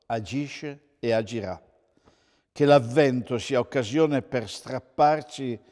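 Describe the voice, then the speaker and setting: A man, a pastor reading a sermon in a measured, solemn manner. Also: mid-range voice, darkish tone. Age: 60s. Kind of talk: sermon read from notes. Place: indoors, plain room with reverb, seated. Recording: reverberant room.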